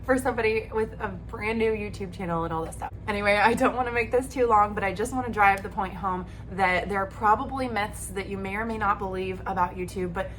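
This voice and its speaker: A woman's voice: mid-range voice, fairly quick, few pauses, a bit bright, slight background noise. A woman in her thirties giving upbeat, encouraging advice on a vlog, speaking straight to the viewer and losing her voice a little. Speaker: YouTube creator.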